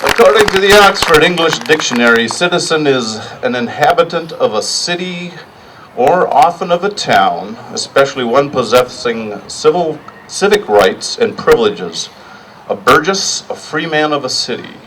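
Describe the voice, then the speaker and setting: A middle-aged man giving a partly improvised rally speech in a deliberate, plainspoken way, pausing for emphasis. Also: fairly high pitch, expressive, fairly bright tone, distant mic, slight background noise, low-quality recording. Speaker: rally speaker.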